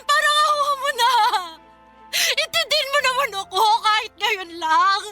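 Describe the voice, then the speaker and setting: A young Filipino woman sunk in grief, in a radio drama. Her voice is extremely high and sharp-edged. She pleads tearfully and desperately, with faint music behind her. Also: animated, moderate pace, full character voice. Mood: desperate pleading.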